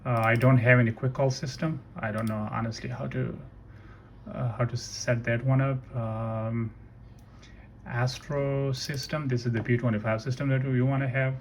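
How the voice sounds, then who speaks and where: A man's voice: medium pitch, level tone. Man, mid-range voice, unscripted walkthrough, hesitant and self-correcting, slight background noise with typing. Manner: halting, thinking aloud, self-correcting. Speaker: tutorial presenter. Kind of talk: casual unscripted software walkthrough. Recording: noisy room with keyboard typing. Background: computer keyboard clicks, ambient noise.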